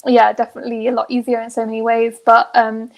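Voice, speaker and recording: A woman's voice: fairly high pitch, completely flat delivery, fast, gravelly, muffled recording. A young woman in a casual, candid group chat, speaking frankly in a giggly, rambling way as a co-host. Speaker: podcast co-host.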